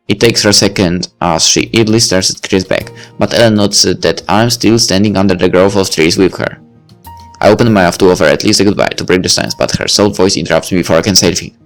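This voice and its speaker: A man reading a visual novel aloud in a relaxed, casual way, adding his own comments and sometimes tripping over words. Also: low-pitched. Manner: relaxed, casual, with occasional slips and restarts. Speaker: let's play host reading a visual novel.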